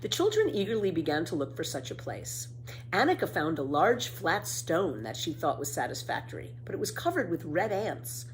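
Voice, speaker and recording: A woman reading a children's story aloud in an expressive, careful storytelling manner. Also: fairly low pitch, normal speed, a little hiss or hum, poor audio.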